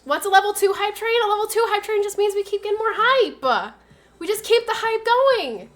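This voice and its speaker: A woman's voice: very high-pitched, expressive, normal speed. A young woman streamer chatting casually, her voice nasal and stuffy from a cold, rambling through stories with lots of fillers. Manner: rambling, filler-heavy storytelling. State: has a cold, stuffy sinuses. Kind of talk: casual livestream chat.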